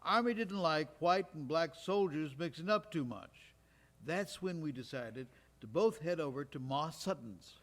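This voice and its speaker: Man playing folksy war veteran, higher-pitched voice. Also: expressive, a little slow, fairly bright tone. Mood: reminiscing, wry. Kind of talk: live stage storytelling monologue, conversational. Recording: live stage recording in recreation hall.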